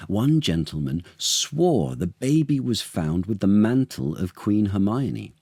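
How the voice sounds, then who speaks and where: Male actor for children's storytime: deep register, unhurried theatrical delivery, very dry sound. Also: sing-song, dark tone, slightly rough, no echo. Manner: theatrical, unhurried, with dramatic pauses. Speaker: actor reading aloud as storyteller. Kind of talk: expressive children's storytime narration of a literary tale.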